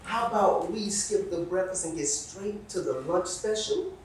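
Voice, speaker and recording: A man's voice: high voice, expressive, moderate pace. A man acting an amorous, pleading soldier husband in a stage play. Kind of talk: theatrical stage play dialogue. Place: indoor theatre stage, recorded from afar. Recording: echoey stage, distant mic, audience noise.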